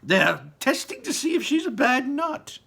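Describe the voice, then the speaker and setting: A man's voice: very high voice, wildly swooping pitch, unhurried, creaky, bright, forceful tone, breathy edge, voice pushed up, full character voice. A man voicing an eccentric, whimsical chocolate maker in a theatrical way while reading a storybook aloud. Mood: matter-of-fact.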